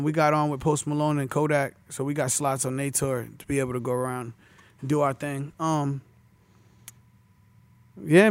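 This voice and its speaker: A young man in his twenties chats loosely as a rapper guest, dropping street slang and filler phrases as he goes. His voice sits a touch higher than most men's. The sound is close and dry. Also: clear, resonant tone, no echo. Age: 20s. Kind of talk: casual interview chat.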